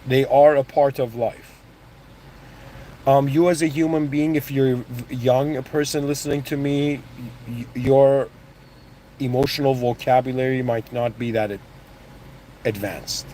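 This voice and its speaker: A man's voice: medium pitch, steady tone, a little slow, pauses often, mellow, a little hiss or hum, low-quality recording. A man born in Iran and raised in Canada, giving a casual, rambling motivational talk full of restarts and asides. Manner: rambling, with restarts and "you know what I mean". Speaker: motivational speaker.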